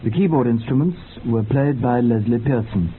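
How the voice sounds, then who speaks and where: A man's voice: medium pitch, normal speed. A man reading scripted credits formally as a radio announcer. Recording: dull lo-fi radio, faint noise.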